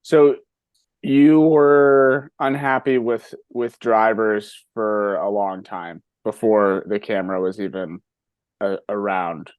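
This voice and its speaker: A man's voice: fairly low pitch, steady tone, takes it slowly, clean tone, no room sound, narrow, tinny audio. A middle-aged man hosting an interview, asking friendly questions with many false starts. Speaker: podcast host. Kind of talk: casual interview.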